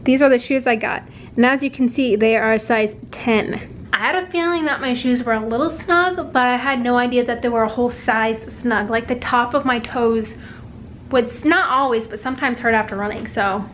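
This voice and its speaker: A young woman talks into a handheld compact camera, chatty and upbeat, with a voice that sits a little high. Her talk rambles loosely and is full of "likes." A faint hum of room ambience lies under her voice. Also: moderate pace, fairly bright tone. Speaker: vlogger. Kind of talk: casual vlog chat. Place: at home and out and about.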